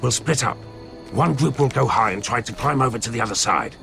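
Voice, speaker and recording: An actor playing a blunt, foul-mouthed mercenary leader in a video game, with a gruff, commanding delivery and a gravelly voice. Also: male voice, mid-range voice, expressive, brisk, a bit bright. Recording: music behind the voice.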